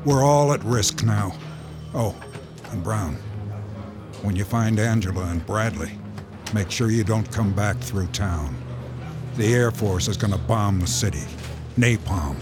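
A man's voice: lowish voice, unhurried, long pauses, over music, lightly acted. An actor playing a grim male officer delivering urgent warnings in a horror radio play. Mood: grave. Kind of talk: radio drama acting.

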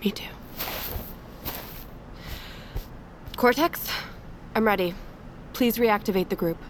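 An actress playing a guarded, weary but defiant survivor in a naturalistic audio drama, with terse, blunt delivery. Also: female voice, mid-range voice, normal speed, noisy background, lightly acted. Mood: subdued, weary.

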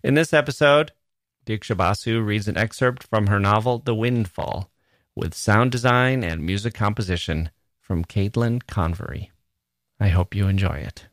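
A man's voice: on the low side, moderate pace, fairly bright tone, no room sound, studio quality. A man giving a scripted introduction as a podcast host.

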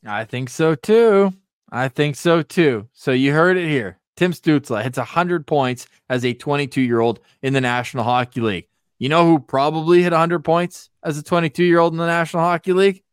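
A man's voice: fairly high pitch, moderate pace, clear, resonant tone, dry recording, studio quality. A man hosting a sports podcast, talking through hockey stats conversationally and leaning on the numbers for emphasis. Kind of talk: lively hockey talk with stats.

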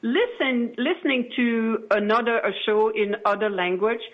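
A woman's voice: fairly high pitch, normal speed. Assertive woman guest on dull lo-fi audio. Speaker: podcast producer, interview guest. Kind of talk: conversational interview.

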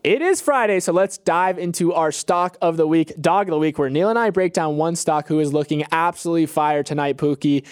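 A man's voice: on the high side, brisk, hardly any pauses, smooth, no room sound, studio quality. A man co-hosting a podcast, mixing casual news banter with playful, slangy segment intros. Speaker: podcast co-host.

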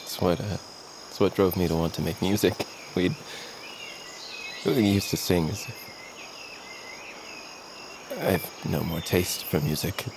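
Low-voiced, troubled young grandson. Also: male voice, fairly even intonation, vocal fry, noisy background. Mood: wistful, bitter. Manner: hesitant, halting, earnest. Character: troubled, grieving grandson, former musician, haunted by voices. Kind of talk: fantasy audio drama acting.

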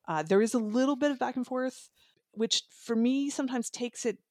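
A woman's voice: fairly high pitch, moderate pace, a little mellow, no echo, studio quality. A woman talking casually and informally about books as a reviewer on a podcast, with a giggly manner. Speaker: audiobook reviewer, podcast guest. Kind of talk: casual, chatty book discussion.

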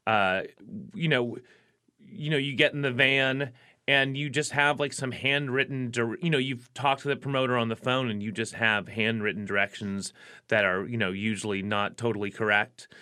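A man's voice: medium pitch, sharp tone, smooth. A man in his forties, a musician guest, talking casually in an interview with lots of fillers and restarts. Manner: rambling, frequent fillers and restarts.

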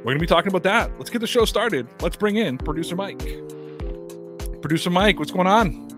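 A man's voice: sits high, over music. Upbeat male podcast host. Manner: energetic, rapid promo reading. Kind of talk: casual podcast chat.

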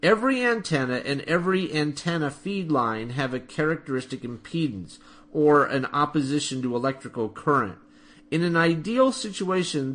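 A man with a slightly high-pitched voice delivers scripted explanatory narration. He is a podcast host who goes through things step by step in an instructional manner. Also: fairly bright tone. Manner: instructional, methodical.

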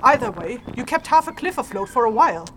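A fairly high voice with clear noise behind it a stern rune mage in a fantasy audio drama. The mage is analytical and easily exasperated, explaining and scolding in a matter-of-fact way. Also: female voice, big pitch swings, fast.

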